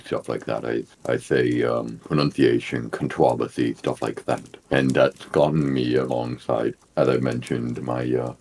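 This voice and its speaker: A man from the United States co-hosting a casual chat, speaking in a measured, slightly formal way. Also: rumbling low, darkish tone, slight background noise. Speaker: podcast co-host.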